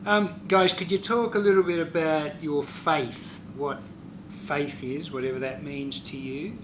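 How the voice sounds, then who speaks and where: Interviewer over clear background noise. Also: mid-range voice, fairly even intonation, unhurried. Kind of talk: simple guided questions to students.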